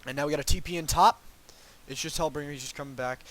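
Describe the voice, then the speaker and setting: A man's voice: fairly high pitch, steady tone, fast talker, a bit bright. A young man with a cold, sounding congested, casting a video game match alone, rambling and hedging with many ums and restarts. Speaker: game caster. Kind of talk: solo play-by-play esports commentary.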